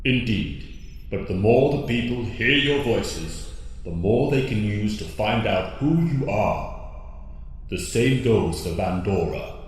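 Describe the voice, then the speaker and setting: A man's voice: lowish voice, animated. A man playing a grave, wise alien mentor in an audio drama, speaking formally and solemnly. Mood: stern. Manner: formal, measured, solemn phrasing. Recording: cavernous echoing hall with long reverb.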